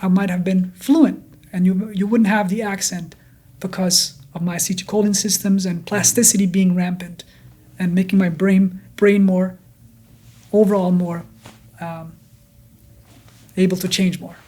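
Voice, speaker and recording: A man's voice: high voice, flat delivery, a little slow, long pauses, warm tone. Kurdish-Danish accented man explaining science. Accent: blended Danish and Kurdish. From Denmark, Kurdish from Iraq. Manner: repeats words and restarts often while explaining. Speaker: neuroscientist guest. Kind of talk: explanatory interview talk.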